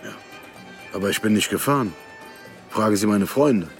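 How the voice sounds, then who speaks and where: Radio drama suspect, male actor: sarcastic, mock-innocent bar owner, fairly low voice. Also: lively intonation, moderate pace, faint music behind, close to an everyday voice. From Lebanon.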